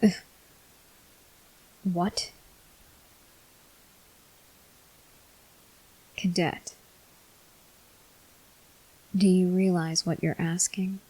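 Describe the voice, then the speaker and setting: Woman as a husky, whispering, brooding vampire soldier. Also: on the low side, level tone, warm tone, full character voice, low-quality recording. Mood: grave, hesitant. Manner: slow, halting, grave delivery. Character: brooding male vampire soldier, weary, stern, tormented. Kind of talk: ASMR roleplay, slow dramatic monologue.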